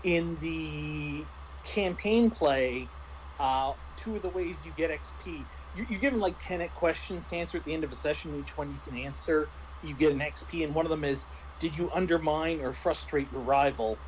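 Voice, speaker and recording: A man's voice: fairly high pitch, moderate pace, a little hiss or hum, low-quality recording. A man talking casually as a game master recapping a tabletop roleplaying session, animatedly retelling his own thoughts. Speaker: game master and panelist on a review show.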